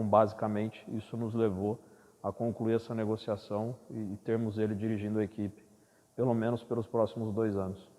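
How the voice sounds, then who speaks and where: Brazilian man, football executive, formal and measured, dark voice with reverb trailing. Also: on the low side. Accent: Brazilian Portuguese. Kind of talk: interview answers.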